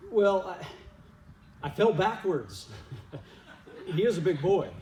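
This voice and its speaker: A loving, forgiving father, acted by a man in a church skit. He speaks slowly and deliberately, with heartfelt emphasis and repeated phrases. The voice comes from a distance in an echoey room. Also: high voice, expressive, darkish tone, full character voice. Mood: amused. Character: loving, forgiving father longing for his lost son. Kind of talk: reader's theater church skit monologue.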